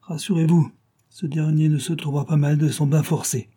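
Un homme jouant un détective triomphant et sévère. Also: male voice, a little high, flat delivery, a little fast, mellow, voice pushed up, lightly acted. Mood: triumphant. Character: stern triumphant male detective, calm and commanding.

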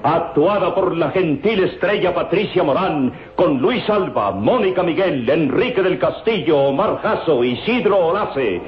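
A man announcing a radio serial formally, with grand, emphatic, drawn-out phrasing. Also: on the high side, lively intonation, reverberant. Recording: dull lo-fi old radio recording. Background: music.

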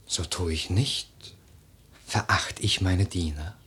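Low husky grieving young nobleman. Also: fairly bright tone, exaggerated voice. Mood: earnest, pleading. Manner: declamatory, lyrical, slow and deliberate. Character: grieving young nobleman widower, intense and brooding. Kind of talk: theatrical German verse radio drama.

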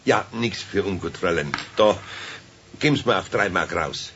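A man's voice: sits low, moderate pace, a bit bright. An actor playing a good-natured, flustered older craftsman with a Bavarian accent, hesitant and muttering, in a children's radio play. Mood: sheepish, hesitant. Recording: dull 1970s radio recording, faint noise.

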